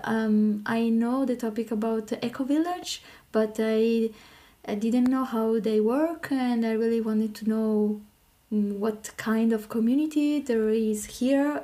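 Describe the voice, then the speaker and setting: High, mellow Italian-accented woman, speaking slowly. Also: steady tone. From Italy. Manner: halting, hesitant phrasing. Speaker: podcast guest. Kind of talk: informal interview talk.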